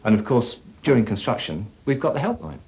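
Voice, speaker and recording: A man giving a measured, matter-of-fact explanation as a company representative in an instructional sales film. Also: mid-range voice, sing-song, gravelly, a little mellow.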